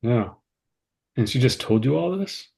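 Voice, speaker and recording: Creaky-voiced, reserved American man an actor's role. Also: medium pitch, expressive, measured pace, mellow. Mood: curious, miffed. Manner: hesitant, understated. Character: David, reserved, uneasy, self-deprecating American male cousin. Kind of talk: screenplay table read.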